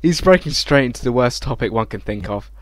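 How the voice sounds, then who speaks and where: A man's voice: medium pitch, lively intonation, a little fast, heavy background noise. A man hosting a let's play, reading visual novel text aloud informally, stumbling over words and adding casual reactions. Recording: game music behind the voice.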